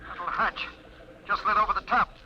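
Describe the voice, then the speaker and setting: A man's voice: high voice, fairly even intonation, brisk, highs cut off, close to an everyday voice. A man playing a blunt army general who barks curt orders, acted in 1950s radio drama style, on a hissy old broadcast recording. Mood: urgent.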